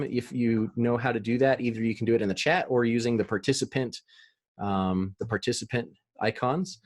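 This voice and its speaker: A man in his thirties presenting on marketing over a video call, talking loosely with many fillers and restarts. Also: on the low side. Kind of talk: casual presentation with Q&A.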